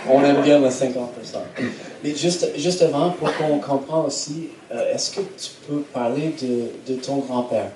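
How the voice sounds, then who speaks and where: An American man who speaks French with a slight Québécois accent, interviewing on stage in a relaxed way full of fillers. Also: medium pitch, measured pace. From United States. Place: theatre stage before an audience. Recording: echoey hall, distant mic, steady noise.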